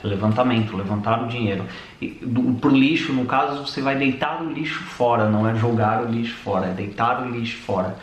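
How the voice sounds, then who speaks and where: A man's voice: mid-range voice, fairly quick. A young Brazilian man with a Brazilian Portuguese accent, co-hosting a casual vlog and explaining things with many restarts and fillers. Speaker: vlogger co-host. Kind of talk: casual chat. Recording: echoey room with faint noise.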